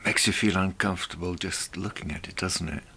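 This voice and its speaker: A man presenting a reflective monologue in a theatrical, deliberate way, with rhetorical asides. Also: deep voice, steady tone, bright, forceful tone, slight background noise.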